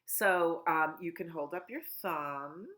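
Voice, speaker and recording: A middle-aged woman at home, a children's librarian talking gently and in a sing-song way during a storytime. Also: lowish voice, slow. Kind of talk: storytime reading and chat for children.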